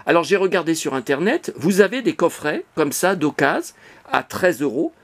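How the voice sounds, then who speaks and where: Man, collector vlogger, slightly high voice, rambling and full of hesitations, filmed on a handheld phone at home. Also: very animated, moderate pace. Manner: chatty, digressive, many hesitations and restarts. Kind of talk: rambling casual monologue to camera. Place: cluttered apartment, indoors.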